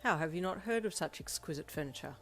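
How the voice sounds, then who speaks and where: An earnest, devoted young man in an audio story , acted by a woman, speaking in a low voice. His manner is formal, precise and polite, over soft music. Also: animated, quick pace.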